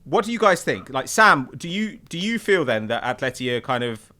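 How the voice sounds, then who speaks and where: A man's voice: mid-range voice, sing-song, a little fast, sharp tone. A man hosting a casual football discussion, talking in an inquisitive, conversational way. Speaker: podcast host.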